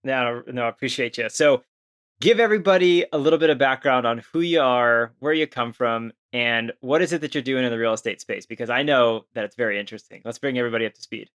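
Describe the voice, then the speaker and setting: A man's voice: medium pitch, fast talker, a bit bright, clear, resonant tone. Enthusiastic man hosting a casual podcast. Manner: enthusiastic, informal slang. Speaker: podcast host.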